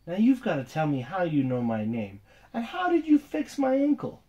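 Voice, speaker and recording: A man's voice: sits high, wildly swooping pitch, normal speed, warm tone, highs cut off, pitched above the performer's own voice. A man doing an eager little boy. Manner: eager, stammering. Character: small brave boy, about four.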